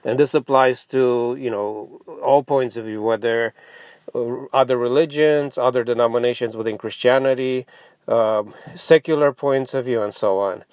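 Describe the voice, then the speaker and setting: Male podcast host, Romanian native speaker, medium pitch, thoughtful stop-and-start explaining, dry recording with little room sound. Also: fairly even intonation, a little mellow, no room sound. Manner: halting, reflective, with frequent pauses and throat clearing. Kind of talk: informal explanatory monologue.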